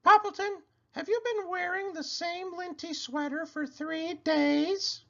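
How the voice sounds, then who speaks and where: Storybook lady llama, voiced by a man: helpful, teasing neighbour, very high falsetto, sing-song. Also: steady tone, unhurried, creaky, bright, forceful tone, dull audio, full character voice. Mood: matter-of-fact. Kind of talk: children's picture book read-aloud.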